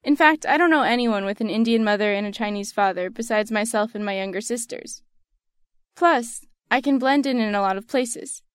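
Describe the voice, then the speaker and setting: A young woman with a General American accent reads her own essay, earnest and reflective. Her voice sits a little high. It is recorded close and dry, with no room sound. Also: normal speed, clear, resonant tone, no echo. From United States. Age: young adult. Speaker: student essayist. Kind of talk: personal essay narration.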